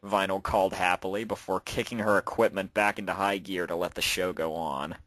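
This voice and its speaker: A man's voice: low-pitched, fairly even intonation, fairly quick, fairly bright tone, no echo. A man reading out a story as its narrator, in a scripted storytelling style. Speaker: narrator and fan fiction author.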